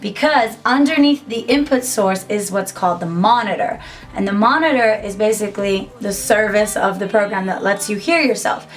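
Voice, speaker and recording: A woman's voice: medium pitch, normal speed. A young woman giving a casual, upbeat tutorial to camera from a small home music studio, chatty and full of "like". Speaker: musician and YouTuber.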